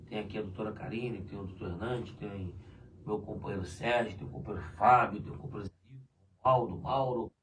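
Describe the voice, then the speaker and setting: A hoarse man chatting loosely on a live union show, tagging his sentences with "né?". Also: lowish voice, steady tone, a little fast. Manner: loose and chatty, many "né?" tags. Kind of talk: casual live chat answering viewers. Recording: distant mic with faint room noise.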